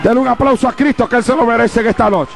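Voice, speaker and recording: A man preaching a fervent sermon to a congregation, rising into shouts and calling out rhetorical questions. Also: extremely high pitch, fast, gravelly. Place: church before a congregation.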